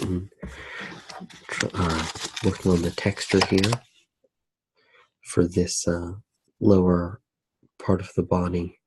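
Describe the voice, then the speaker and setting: Very low, slow, hushed ASMR man. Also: husky, rough recording. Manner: hushed, unhurried, halting, with pauses mid-sentence. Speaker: ASMR artist, host. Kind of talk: ASMR drawing tutorial, narrated while working.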